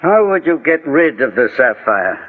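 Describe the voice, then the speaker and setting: An actor playing a kindly, shrewd old gentleman detective, measured and formal, in 1940s radio drama. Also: medium pitch, very animated. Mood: calm, accusing. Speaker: lead detective. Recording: narrow, tinny 1940s radio recording.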